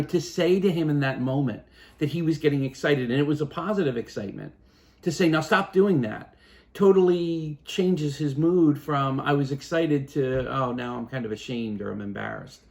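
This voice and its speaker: A man in his fifties, a father explaining things in a calm, casual chat at home, pausing and restarting as he thinks. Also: on the high side, gravelly. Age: 50s. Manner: thoughtful, halting, many restarts and fillers. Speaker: parent.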